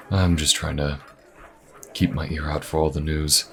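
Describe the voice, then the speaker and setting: A man playing a weary, easygoing inquisitor in a fantasy audio roleplay, chatting in a wry, conversational way with musing pauses. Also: exaggerated voice. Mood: wry, casual. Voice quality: very deep, gravelly. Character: weary, easygoing adult male Inquisition agent and adventurer. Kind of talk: fantasy audio roleplay, in-character small talk to the listener.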